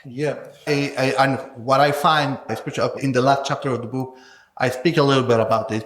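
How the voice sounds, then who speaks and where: A man with a mid-pitched voice talks in a reverberant room. He explains scholarly ideas in a thoughtful, conversational interview style, often checking in with short tag questions. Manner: thoughtful, explanatory, tags phrases with "no?" and "right?". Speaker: sound scholar, interviewed guest. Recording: echoey room.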